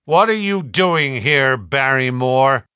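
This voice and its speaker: A man voicing a stern, direct gentleman who interrogates his servant in a storybook reading. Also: medium pitch, expressive, takes it slowly, smooth, no echo, studio quality. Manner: stern, commanding.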